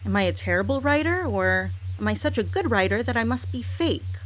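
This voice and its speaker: A woman delivering a scripted monologue in a sarcastic, deliberate manner, with repetitive phrasing. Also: fairly high pitch, expressive, poor audio. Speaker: hypnosis audio performer. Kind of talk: scripted monologue, mock apology.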